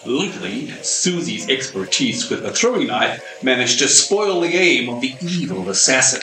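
A man as a bright, showy announcer. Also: medium pitch, very animated, normal speed, echoey room, soft background music, rough recording. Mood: announcing. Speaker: narrator and announcer. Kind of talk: amateur community radio play.

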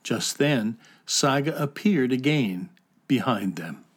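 A man narrating a children's fantasy audio drama in a measured, descriptive way. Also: mid-range voice, lively intonation. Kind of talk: scripted storybook narration.

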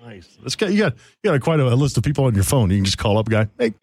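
A man's medium-pitched voice, recorded close and dry. He hosts a radio interview, talking informally and with plenty of slang. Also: big pitch swings, very fast, darkish tone, breathy edge, dry recording. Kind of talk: casual radio interview chat.

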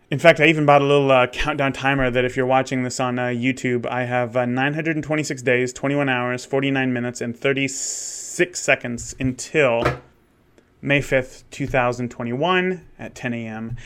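A man's voice: mid-range voice, fairly even intonation, moderate pace, fairly bright tone, clean tone. Candid thirty-year-old man rambling. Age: 30s. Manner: candid and rambling, with frequent restarts and fillers. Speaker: wedding photographer and podcast host. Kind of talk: casual solo monologue.

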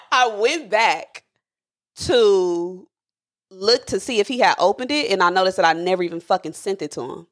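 Woman, 30, podcast host, medium pitch, frank, giggly, casual. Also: big pitch swings, normal speed. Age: 30s. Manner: frank, giggly, quizzes her guest. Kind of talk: casual chat.

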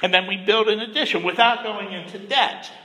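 A middle-aged man preaching a sermon as a pastor, soft-spoken, gentle and measured. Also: high voice, steady tone, long reverb tail, poor audio. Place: church sanctuary.

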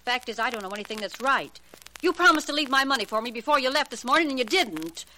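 An indignant housemaid in an old radio play, scolds bluntly in a fairly high, piercing voice. She is surly and plain-spoken, with light noise from the vintage recording. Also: animated, quick pace, bright, forceful tone. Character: indignant, blunt housemaid demanding her pay. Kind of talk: 1940s radio drama acting. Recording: slightly noisy old 1940s radio recording.

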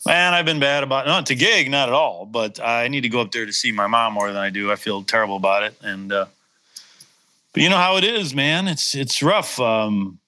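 A middle-aged man with a slight Upper Midwest accent chats casually about his life as a guitarist. He rambles and restarts often and pokes fun at himself. His voice is bright and forward, at an ordinary pitch. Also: animated, brisk. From Wisconsin. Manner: rambling, self-deprecating, many restarts. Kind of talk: casual chat.

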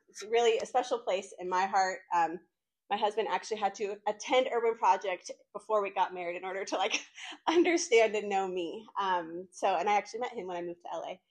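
A woman's voice: mid-range voice, rough recording. A woman in her late thirties from North Carolina, chatting informally to college students in a faith talk. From North Carolina, United States. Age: late 30s. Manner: chatty and conversational, frequent "like" asides. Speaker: campus ministry speaker.

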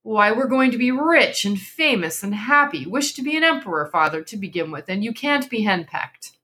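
A woman's voice: mid-range voice, animated, normal speed, sharp tone, pitched above the performer's own voice. A woman voicing a teasing young man, the playful and mocking son in a storybook reading. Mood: mock horror.

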